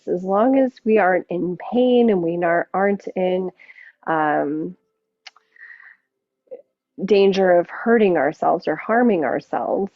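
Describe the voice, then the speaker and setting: A woman yoga teacher gently guiding a relaxation practice, unhurried and leaving long pauses between phrases. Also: on the low side, no echo. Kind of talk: guided yoga and relaxation instruction. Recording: muffled narrow phone-like sound.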